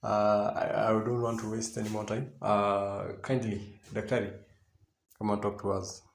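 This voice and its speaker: A man playing an admonishing deputy principal in a radio drama, speaking haltingly and repeating his phrases. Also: lowish voice, little pitch movement, creaky, roomy sound. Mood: advising, measured. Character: male deputy principal, admonishing, fatherly school authority. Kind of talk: radio drama assembly address.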